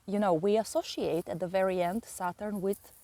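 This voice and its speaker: A woman with a Bulgarian accent, a native Bulgarian speaker, explaining astrology and mythology with many pauses and fillers. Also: medium pitch, steady tone, warm tone. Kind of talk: slow explanatory astrology talk.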